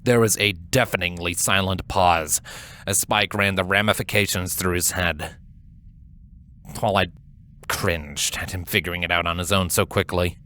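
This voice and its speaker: A man's voice: low-pitched, steady tone, normal speed, bright, forceful tone. A man reading scripted fan fiction as a storybook narrator. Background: background music.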